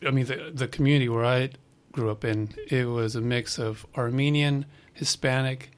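A man from Los Angeles, a musician guest on a radio show, answering in a relaxed, rambling way with lots of fillers. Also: medium pitch, normal speed, clear, resonant tone. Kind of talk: casual interview answers.

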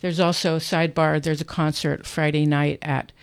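A woman hosting a casual radio interview in a studio, enthusiastic but halting as she searches for words. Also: low-pitched, flat delivery, clear, resonant tone. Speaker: radio host. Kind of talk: casual unscripted on-air chat.

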